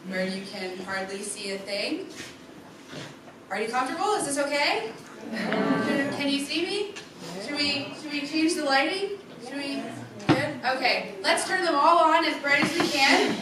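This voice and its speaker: Young woman, student presenter, fairly high voice, clear rehearsed delivery; distant mic in a roomy hall. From USA. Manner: clear rehearsed delivery, speaks straight to the audience. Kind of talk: project presentation to a live audience. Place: darkened presentation room with an audience. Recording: echoey room from far off, background noise.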